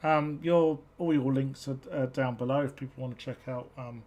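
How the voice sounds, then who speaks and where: A woman's voice: rumbling low, fairly even intonation, normal speed, mellow, dull audio. A woman host with a British accent with a slight South African twang, chatting casually and hesitantly with many ums. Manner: hesitant, lots of ums and restarts. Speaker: show host. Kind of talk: casual chat.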